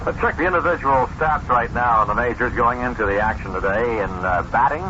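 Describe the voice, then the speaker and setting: A man's voice: mid-range voice, a little fast. A man working as a radio baseball broadcaster, reading out statistics on air. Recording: noisy, dull old radio broadcast.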